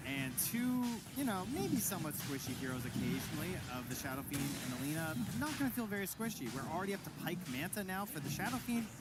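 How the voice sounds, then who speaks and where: A man giving live analytical commentary on an esports match, explaining how the game is developing. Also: a little high.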